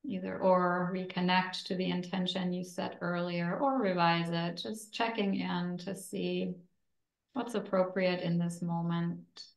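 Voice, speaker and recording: A woman instructor leading a guided yoga relaxation in a soothing, gentle, deliberate way with long pauses between phrases. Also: fairly low pitch, level tone, vocal fry, reverberant, low-quality recording.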